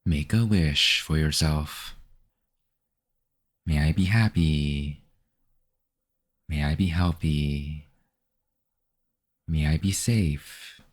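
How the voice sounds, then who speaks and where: A man narrating a guided meditation as the guide, in a measured, instructional manner. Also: rumbling low.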